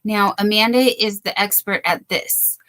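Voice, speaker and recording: A woman's voice: mid-range voice, fairly even intonation, moderate pace, bright, forceful tone. A woman hosting a casual crochet live stream from the United States, bubbly and chatty, thanking viewers by name.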